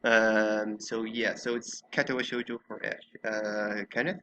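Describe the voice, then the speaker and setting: A man's voice: on the low side, flat delivery, unhurried, sharp tone, highs cut off, slight background noise. A man hosting a casual podcast discussion in a teasing, mock-exasperated manner. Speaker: podcast host.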